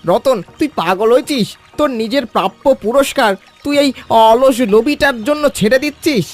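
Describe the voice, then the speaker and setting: A man playing a loyal, protective village uncle in an animated children's story, blunt and aggrieved in manner. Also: very high-pitched, normal speed, soft background music. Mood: indignant.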